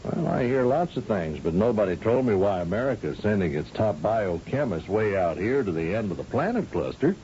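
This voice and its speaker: A man's voice: very animated, fairly quick, hardly any pauses, mellow. An actor playing a folksy, easygoing male governor of a space outpost in a radio drama, with an informal manner. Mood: genial. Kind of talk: 1970s-style radio drama acting. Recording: muffled, noisy old radio broadcast with rumble.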